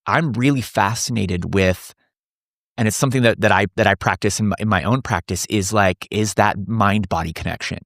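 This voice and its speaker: A man's voice: on the low side, normal speed, creaky, a bit bright, no room sound. Earnest man in his forties, dry sound. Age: 40s. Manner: earnest, thinks aloud with many restarts. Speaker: men's coach, podcast guest. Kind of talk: casual podcast conversation.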